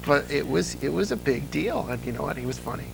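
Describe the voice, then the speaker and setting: A man's voice: a little high, animated, fast talker, vocal fry, faint music behind, poor audio. A man in his thirties chatting with enthusiasm and telling anecdotes as an interview guest. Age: 30s. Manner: enthusiastic, chuckles while talking, acts out quotes. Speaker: interview guest, old-time radio enthusiast.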